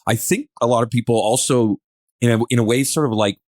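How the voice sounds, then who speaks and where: A man's voice: mid-range voice, brisk, a little mellow, no room sound, studio quality. A man hosting a podcast in casual discussion, talking in a hesitant, rambling way and often circling back to rephrase. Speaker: podcast host.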